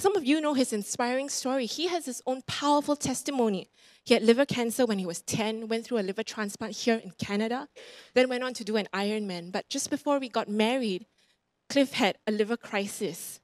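A woman's voice: fairly high pitch, brisk, no room sound. A woman in her thirties with a Singaporean accent, preaching a sermon on a church stage into a handheld mic. She moves about and gestures as she tells personal stories, and she repeats phrases for emphasis. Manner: animated, roams the stage gesturing. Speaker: guest preacher. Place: church stage before a congregation.

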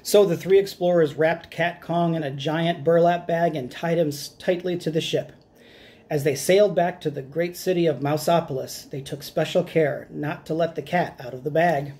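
A man's voice: fairly high pitch, moderate pace, darkish tone. A middle-aged man reading a children's picture book aloud in a dramatic storytelling style. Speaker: storytime reader. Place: small room at home, indoors.

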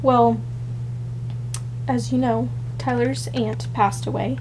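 A woman's voice: fairly high pitch, fairly even intonation, slow pace, darkish tone, slight background noise, rough recording. A young woman in her twenties, unwell and shaky, chatting casually in a personal vlog, rambling with many fillers and restarts, filmed close up on a camera in a small bedroom. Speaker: vlogger. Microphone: camera close up, built-in mic.

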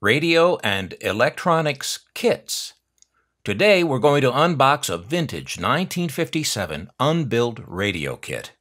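A man's voice: medium pitch, very animated, measured pace, fairly bright tone. An older American man narrating an unboxing video with wry, dry humor and nostalgic asides. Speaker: host of a collector's unboxing channel.

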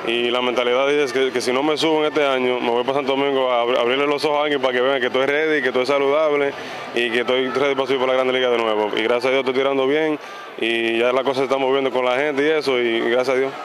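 A man in his thirties who grew up in the United States, a baseball pitcher answering interview questions outdoors on a ball field into a handheld mic, calm and soft-spoken, slipping English words into his speech. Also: quick pace. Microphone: handheld mic held by interviewer. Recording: noisy outdoor stadium ambience.

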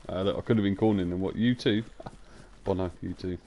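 Croaky cheeky bloke streaming. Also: male voice, deep voice, steady tone, fairly quick, dark tone, a little hiss or hum. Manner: cheeky, crude joking. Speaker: gaming streamer. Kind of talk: casual live-stream banter.

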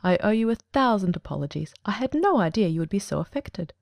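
A woman plays a gentleman detective from a storybook, composed and courteous. His voice has some gravel in it and is recorded very dry. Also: medium pitch, sing-song, a little fast, dry recording. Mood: calm, apologetic.

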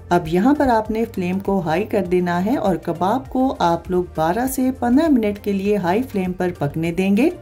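Lady doing a cooking voiceover, calm step by step, soft mellow tone, faint music under. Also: mid-range voice. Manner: calm step-by-step explaining, addresses viewers directly. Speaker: cooking channel host. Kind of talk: instructional recipe voice-over. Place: voice-over, not recorded in the pictured kitchen.

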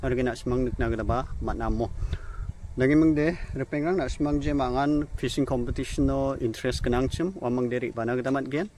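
A man's voice: medium pitch, fairly quick, a little mellow, slight background noise. A young man hosting a casual vlog by a rural pond, chatting and explaining directions into a phone held at arm's length. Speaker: vlogger host. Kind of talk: casual outdoor vlog talk. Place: outdoors by a rural fish pond.

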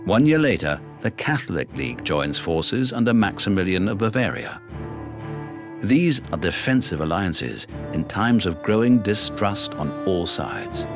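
Male narrator, low register, formal measured read for an educational documentary, music underneath. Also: big pitch swings. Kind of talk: scripted narration.

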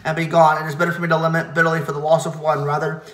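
A man solemnly reciting liturgical hymn verses in a measured way, now and then stumbling over a word. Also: on the high side, flat delivery, fairly bright tone. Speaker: reader of church liturgy. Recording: echoey, reverberant room.

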